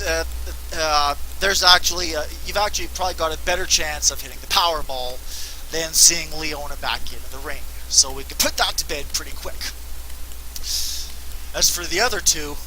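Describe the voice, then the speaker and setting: A man's voice: fairly high pitch, pushed and bright, slight background noise, rough recording. A man answering fan questions in a casual, rambling, self-mocking way, laughing often. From Canada. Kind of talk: casual unscripted Q&A.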